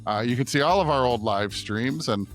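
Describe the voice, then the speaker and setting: A man's voice: medium pitch, moderate pace, faint music behind, low-quality recording. A man co-hosting a podcast, talking in a casual, conversational way. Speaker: podcast co-host.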